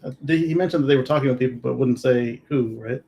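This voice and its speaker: A man's voice: medium pitch, fast talker, creaky, darkish tone. A man co-hosting a discussion podcast, offering casual, conversational commentary. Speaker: podcast co-host. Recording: faint background noise.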